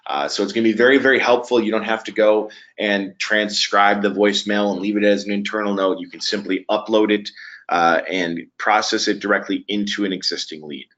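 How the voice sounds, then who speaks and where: A man with a fairly low voice hosts a software webinar. He explains each step conversationally, with hesitant pauses along the way. The audio is a bit dull and lo-fi. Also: steady tone. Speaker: webinar presenter.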